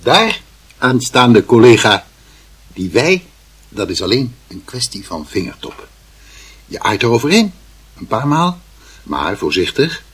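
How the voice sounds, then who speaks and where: A husky, swooping, fussy artist uncle. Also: male voice, mid-range voice, sing-song, measured pace, pauses often, fairly bright tone, heavily acted. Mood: instructive. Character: fussy, patronising artist uncle instructing his little nephew. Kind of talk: Dutch radio play acting.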